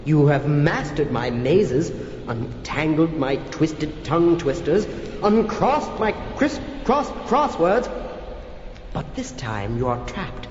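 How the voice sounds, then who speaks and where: An actor playing a gloating, prankish vampire count in a campy horror comedy record, with a hammy, drawn-out, gleefully sinister delivery. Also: male voice, fairly high pitch, very animated, a little mellow. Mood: gleeful menace. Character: gloating theatrical male vampire count, menacing prankish villain. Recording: muffled, echoey, noisy old flexi-disc record.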